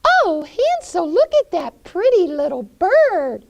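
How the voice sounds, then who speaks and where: A woman voicing a frightened little girl in a storybook tale, high and pleading. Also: takes it slowly, gravelly, a little mellow, lo-fi sound, exaggerated voice. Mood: scared, pleading. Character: scared little girl Gretel.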